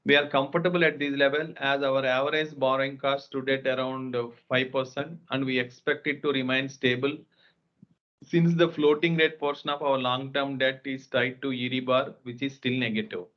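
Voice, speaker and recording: A man giving a formal, scripted financial presentation as a company executive, sometimes halting over the figures. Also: medium pitch, level tone. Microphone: conference call line. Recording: dull, lo-fi conference call audio.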